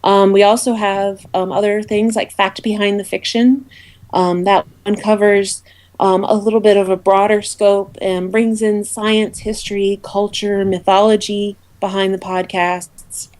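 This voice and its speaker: A woman speaking as an interview guest, explaining things informally in a halting way with many ums. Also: medium pitch, flat delivery, a little mellow. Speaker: interview guest, awards organiser.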